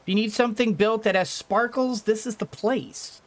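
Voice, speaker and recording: A man's voice: high-pitched, steady tone, moderate pace, slight background noise. A man giving casual let's-play commentary, rambling along and thinking aloud as he goes. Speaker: gaming video host.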